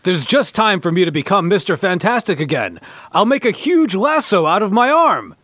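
A man as bright, forceful superhero leader. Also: high-pitched, very animated, normal speed, dry recording, heavily acted. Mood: heroic. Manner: declamatory melodrama. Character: earnest, heroic stretchy superhero leader. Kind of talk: amateur comic-book radio play.

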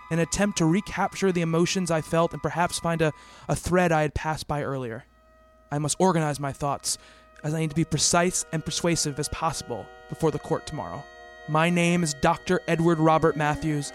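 Actor playing an earnest, high-voiced male psychiatrist. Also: lightly acted. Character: earnest male psychiatrist, haunted narrator and shy awkward suitor. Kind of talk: radio play acting.

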